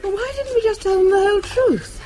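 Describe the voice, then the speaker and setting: Cool, teasing British wife. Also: female voice, very high-pitched, fairly quick, over music. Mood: arch, teasing. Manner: arch, composed. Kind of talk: radio drama acting.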